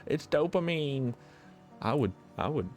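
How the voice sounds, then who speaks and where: A man's voice: fairly high pitch, lively intonation, a little slow, darkish tone, soft background music. A man hosting a gaming video, reading a visual novel's narration aloud with blunt, casual asides.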